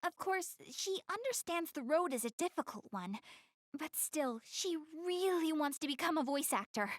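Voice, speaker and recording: A woman's voice: high-pitched, moderate pace, dry recording, studio quality. A woman voicing a proud, easily flustered young goddess girl in an anime game dub, speaking expressively and directly to the player. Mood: earnest, hopeful. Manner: expressive, hesitant when covering up embarrassment.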